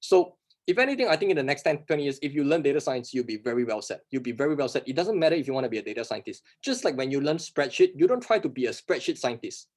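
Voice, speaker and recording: A man who is not a native English speaker answers workshop questions, talking fast and emphatically, often asking and answering his own rhetorical questions. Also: medium pitch, very animated. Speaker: workshop instructor.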